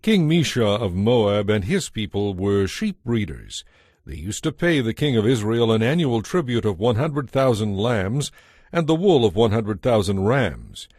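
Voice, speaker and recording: A man narrating a dramatized audio Bible in a measured storytelling manner. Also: on the low side, sing-song, darkish tone, dry recording, studio quality.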